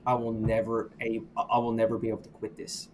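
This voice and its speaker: A middle-aged man with a fairly low voice tells his own story as a podcast guest, halting and reflective, circling back and restarting his sentences. There is a faint hiss of noise under the recording. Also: monotone, a little mellow. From United States. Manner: reflective, halting, with many restarts and "you know". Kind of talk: candid personal storytelling.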